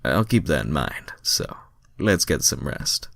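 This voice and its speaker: Guy doing a chill tired cartoon hedgehog dude, deep voice, halting, fanfic reading. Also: big pitch swings, creaky, a bit bright, dry recording, in a whisper, clean studio sound, exaggerated voice. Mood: worn out, relaxed.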